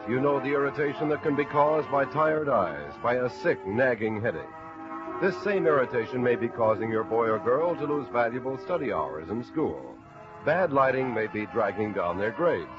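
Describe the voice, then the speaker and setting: A male network announcer from 1950s radio, earnest and formal. His middle-pitched voice delivers measured scripted appeals over clear music, on a dull old recording.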